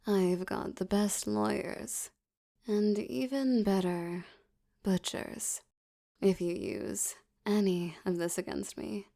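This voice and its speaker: A seductive female mafia boss, acted by a woman in an ASMR audio drama, slow and teasing with a mellow, creaky voice. She flirts obsessively and threatens softly, often sinking into a breathy whisper. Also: mid-range voice. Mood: softly menacing.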